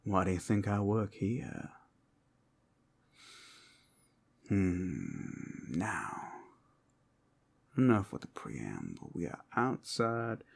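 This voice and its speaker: A man acts out a seductive werewolf companion in a fantasy ASMR roleplay. His voice is low and gravelly, sometimes sinking to a breathy whisper. He takes his time, teasing the listener in a playful drawl that rises and falls widely. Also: big pitch swings, heavily acted. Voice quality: gravelly, husky, often dropping to a whisper. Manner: slow, playful, seductive drawl with pet names. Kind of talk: ASMR roleplay, intimate scripted acting to the listener.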